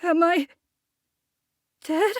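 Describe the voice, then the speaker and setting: Casting: small bewildered boy for a game drama, high-pitched, extremely unhurried, fragile and hesitant, music underneath. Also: steady tone, no echo, full character voice. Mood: frightened. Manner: hesitant, small, vulnerable, childlike. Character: timid, bewildered young boy turned little monster. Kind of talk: dramatic video game voice acting.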